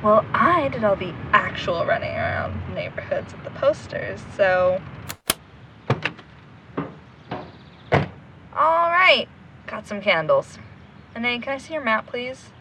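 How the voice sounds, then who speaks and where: A woman's voice: fairly high pitch, very animated, a little slow, background noise. An actress playing an intense, stubborn young woman investigator in an audio drama, blunt, sarcastic and sweary. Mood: weary, dry. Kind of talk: naturalistic audio drama acting.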